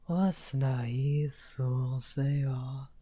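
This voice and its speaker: A man playing a misunderstood outcast necromancer wizard, smugly amused and taunting, in an unhurried, breathy, often half-whispered ASMR roleplay. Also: mid-range voice, steady tone, dark tone. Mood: bitter, confiding. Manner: unhurried, taunting, drawn-out phrasing. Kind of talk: slow theatrical ASMR roleplay.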